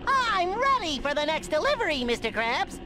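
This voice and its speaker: A man voicing a childlike, eager, naive sea sponge in a cartoon video game, squeaky, nasal and excitable. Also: moderate pace, music in the background. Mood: playful. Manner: excitable, exclamatory, earnest.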